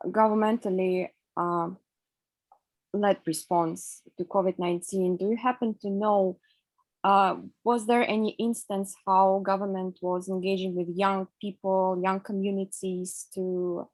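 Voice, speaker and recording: A woman's voice: medium pitch, darkish tone, no room sound. Polite woman from Russia. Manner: polite, hesitant, many fillers. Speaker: panelist asking a question. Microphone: video call.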